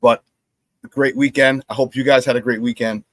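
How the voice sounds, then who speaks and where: A hoarse middle-aged man hosting a sports talk show, telling animated stories, repeating his phrases and laughing at his own stories. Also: mid-range voice, fairly quick, dry recording, studio quality. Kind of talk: casual sports talk monologue.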